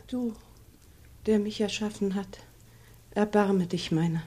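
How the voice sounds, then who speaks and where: A devout walled-in penitent in a radio drama. Her prayer is slow and pleading, in a soft, dark, somewhat breathy voice. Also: medium pitch, fairly even intonation. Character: pious walled-in penitent woman, praying humbly. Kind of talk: German radio play acting, prayer.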